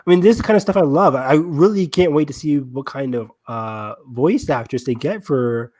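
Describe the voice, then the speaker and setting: A man's voice: on the high side, a little fast, darkish tone, no echo. A man co-hosting a podcast in casual, gushing fan discussion, speaking with open enthusiasm. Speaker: podcast co-host.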